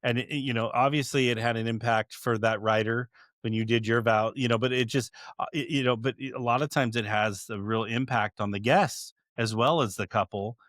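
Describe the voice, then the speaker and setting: A man's voice: mid-range voice, steady tone, fairly quick, fairly bright tone, no room sound, studio quality. A middle-aged man hosting a podcast, rambling with stammers and fillers. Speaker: podcast host. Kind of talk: casual interview chat.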